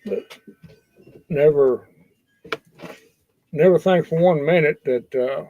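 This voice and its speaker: Folksy man, slightly high voice, dull lo-fi sound. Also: slow, a little hiss or hum. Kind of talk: casual, rambling safety talk.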